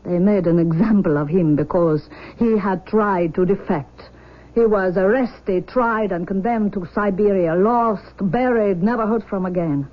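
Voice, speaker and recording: An actress playing an intense, imperious forty-year-old Russian mother in a 1970s radio drama, speaking in emphatic, deliberate declarations. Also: lowish voice, lightly acted. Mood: grave, bitter. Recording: muffled vintage radio broadcast recording.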